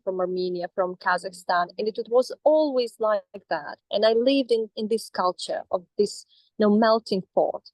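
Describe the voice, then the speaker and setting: A woman's voice: mid-range voice, darkish tone, dry recording, low-quality recording. A young woman with a Russian accent, talking over a video call as a coaching client, hesitant with many fillers and restarts. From Astrakhan, Russia. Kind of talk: casual reflective talk.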